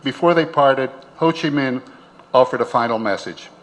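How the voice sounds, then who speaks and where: A man's voice: medium pitch, moderate pace, poor audio. Man narrating a scripted documentary.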